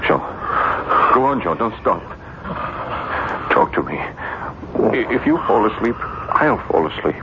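Desperate, haunted gentleman, noisy old radio. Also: lowish voice, big pitch swings, takes it slowly, pauses often, full character voice. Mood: weary pleading. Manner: pleading, agitated, insistent. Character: tormented, desperate gentleman plagued by an unseen evil spirit. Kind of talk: 1970s radio drama acting. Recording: muffled, very noisy 1970s radio recording.

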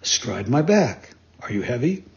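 A man reads as a large storybook pigeon, a helpful messenger. He is steady, plain and matter-of-fact. The sound is a little dull and lo-fi. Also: medium pitch, normal speed, gravelly. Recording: dull lo-fi recording.

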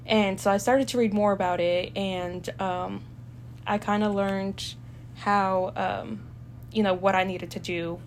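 A woman's voice: medium pitch, steady tone, a little slow, a little hiss or hum. Chatty young woman from El Salvador. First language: Spanish. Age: young adult. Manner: chatty, hesitant with many fillers, laughs easily. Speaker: student guest. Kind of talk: casual chat.

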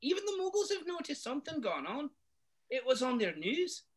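A man reading a story aloud, putting on a stern, irritable middle-aged Scottish witch in a high falsetto, set back from the mic. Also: wildly swooping pitch, normal speed, heavily acted. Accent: Scottish, put on. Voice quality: high strained falsetto with thick Scots words.

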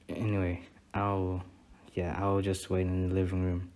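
A young man playing a shy, sweet student boyfriend on a first date in a soft-spoken ASMR roleplay, speaking in a hesitant, bashful way. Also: sits low, lightly acted. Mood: bashful, admiring.

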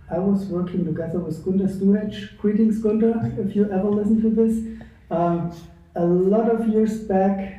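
A man talking as a guest on a casual podcast, speaking slowly with an animated, deliberate manner. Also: high voice. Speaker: podcast guest, university researcher. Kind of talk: casual group podcast chat. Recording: echoey, distant, phone-like sound.

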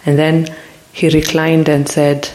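A podcast host giving a calm, reflective spiritual talk into a close mic. They ask the listener many probing questions and grow looser and more hesitant when retelling stories. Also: mid-range voice, takes it slowly, rough recording.

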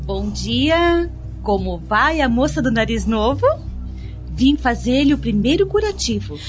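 An actress playing a cheerful, soothing clinic nurse in a radio soap opera. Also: female voice, a little high, sing-song, unhurried. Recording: dull lo-fi audio, music underneath.

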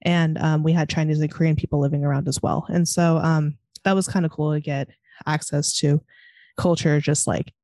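A woman's voice: sits low, steady tone, normal speed, warm tone, no echo, studio quality. A woman from California with a Californian American accent, chatting casually in a hesitant, meandering way peppered with "like". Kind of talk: casual panel conversation.

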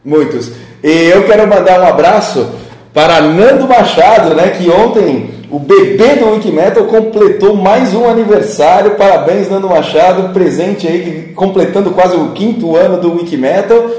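A man's voice: sits high, animated, normal speed, darkish tone. A man co-hosting a podcast in an upbeat, affirming chat. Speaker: podcast co-host. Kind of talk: casual chat. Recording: echoey room.

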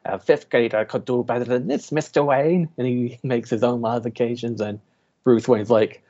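A man's voice: mid-range voice, steady tone, moderate pace, warm tone. Man, late thirties, podcast co-host, chatty and giggly, lo-fi recording. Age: 30s. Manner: laughs while telling stories. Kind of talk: casual group chat. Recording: dull lo-fi sound.

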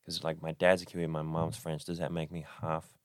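A man's voice: very deep voice, completely flat delivery, fairly quick, clear, resonant tone, no room sound, clean studio sound. A young man speaking as a podcast guest in an easygoing, laid-back way. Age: young adult. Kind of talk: casual chat.

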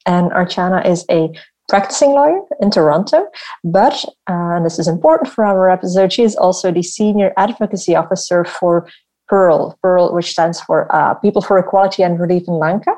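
A woman podcast host with a slightly low, mellow voice. She talks conversationally, often rephrasing as she works her way toward a question. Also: brisk. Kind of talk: conversational hosting.

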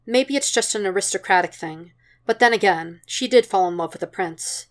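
A woman's voice: mid-range voice, moderate pace. A woman reading a piece of fan fiction as scripted narration. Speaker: podfic reader.

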